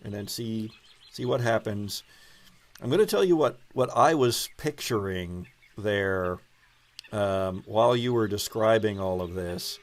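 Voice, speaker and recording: A man's voice: fairly low pitch, unhurried. A man acting as game master, casually improvising and thinking aloud with hesitant phrasing. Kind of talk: casual improvised game narration and table talk.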